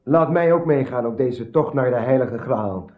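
An actor playing an impassioned, headstrong knight in a radio drama, fervent and theatrical. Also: male voice, mid-range voice, moderate pace, lightly acted. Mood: urgent pleading. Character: impassioned, headstrong male knight begging to quest. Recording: echoey, muffled old radio recording.

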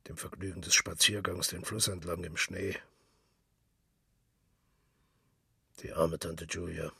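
A brooding, melancholy narrator of a literary radio play, speaking solemnly and with measured care. The voice is very low and heavily husky, with a gravelly creak at the edges. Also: male voice, pushed and bright, dry recording, studio quality, far from the mic, heavily acted. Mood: subdued, melancholy.

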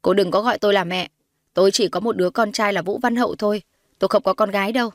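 A woman's voice: mid-range voice, steady tone, fast talker, no room sound, natural voice. A haughty middle-aged matriarch played by a woman narrator in an audio drama. She is cold and scornful, sneering her accusations, and the sound is close and dry.

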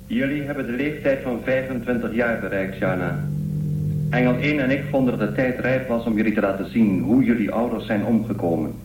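A grave starship guardian intelligence played by a man speaks formally from a distance, heavily echoed, with loud hum. The style is science-fiction radio drama. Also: mid-range voice, brisk, close to an everyday voice. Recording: distant, echoing, loud humming noise.

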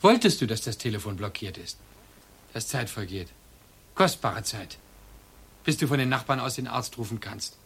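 An actor playing an accusing, probing husband in a crime radio drama, speaking insistently and deliberately like an interrogator. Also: male voice, medium pitch, lively intonation, gravelly, fairly bright tone, slightly rough, exaggerated voice.

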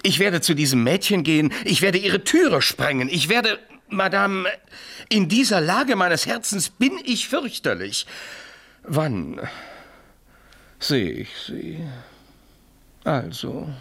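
A man's voice: sits high, very animated, takes it slowly, pauses often, sharp tone, exaggerated voice. A male actor in a radio play, playing a lovesick, impetuous French marquis with ornate, theatrical speech. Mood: frantic, impassioned. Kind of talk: 1970s German radio play acting.

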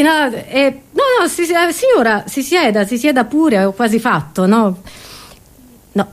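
A woman comedian with a fairly high voice delivers a theatrical solo monologue. She addresses the audience directly in a scatterbrained, exclamatory way, halting and repeating herself as she goes.

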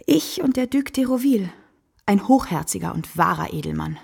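An actress playing a worldly, self-possessed kept woman of the theatre in a radio play, courteous and theatrical, with a husky, gravelly voice. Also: mid-range voice, animated, moderate pace, darkish tone, full character voice. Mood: composed, discreet. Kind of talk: German radio play acting.

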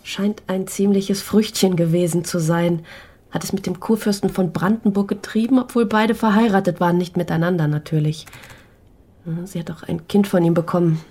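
Wry police investigator, husky voice. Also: female voice, lowish voice, fairly even intonation, normal speed, mellow. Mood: wry, gossipy. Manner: dry, wry, informal. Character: wry, matter-of-fact female police investigator. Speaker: police assistant. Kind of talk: German radio play acting.

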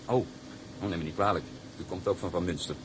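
Eager young ship's engineer, acted by a man, low voice. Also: fast talker, noisy background, natural voice. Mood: nervous, gossipy. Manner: hesitant, apologetic. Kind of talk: Dutch radio drama acting.

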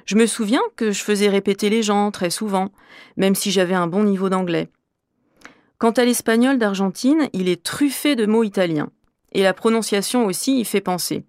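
A woman from Normandy, a French teacher, answering interview questions in a measured, clear, explanatory way. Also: mid-range voice, darkish tone, dry recording, clean studio sound. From Normandy, France.

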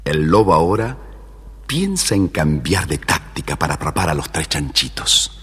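Deep-voiced man narrating, long reverb. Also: big pitch swings, moderate pace, a bit bright, husky. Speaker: storyteller narrator. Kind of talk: dramatic scripted children's narration. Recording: reverberant, slightly noisy story record.

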